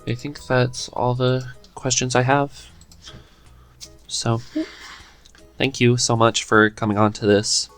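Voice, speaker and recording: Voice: mid-range voice, fairly even intonation, slow pace, pauses often, a bit bright, faint music behind. A podcast host chatting casually in an interview. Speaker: podcast host and script writer.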